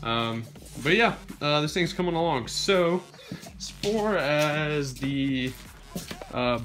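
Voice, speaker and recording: A man's voice: on the high side, expressive, slow, background noise. Laid-back young man filming in his garage. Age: young adult. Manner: laid-back, many ums and uhs. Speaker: DIY vlogger. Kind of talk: casual build narration. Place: home garage workshop.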